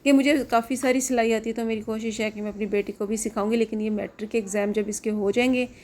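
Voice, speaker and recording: A woman's voice: on the high side, steady tone, fast talker, darkish tone. Chatty woman with a sore throat. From Pakistan. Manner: chatty, homely, explaining to viewers. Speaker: vlogger. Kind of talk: casual home vlog narration. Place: home kitchen.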